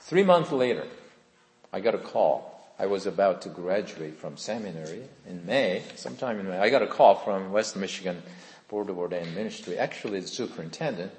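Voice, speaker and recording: Pastor preaching in an echoey hall. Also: male voice, low voice, expressive, a little fast, a little mellow. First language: Korean. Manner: earnest, conversational, asks the congregation questions. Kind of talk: sermon with personal testimony.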